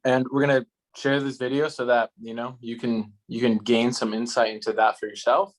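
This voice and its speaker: An upbeat man hosts a relaxed conversation, friendly and easygoing as he asks his guest questions. His voice sits at an ordinary middle pitch. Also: normal speed, low-quality recording. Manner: upbeat, friendly interviewer with fillers like "right on". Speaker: host. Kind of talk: casual interview chat.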